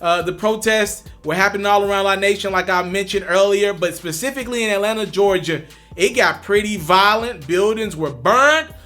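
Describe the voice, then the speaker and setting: A man's voice: sits high, normal speed, bright, forceful tone, soft background music. A young man hosting a commentary vlog, talking straight to his viewers with emphatic, repeated points. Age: young adult. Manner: emphatic, addresses viewers directly, repeats for stress. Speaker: commentary channel host. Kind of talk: direct-to-camera commentary.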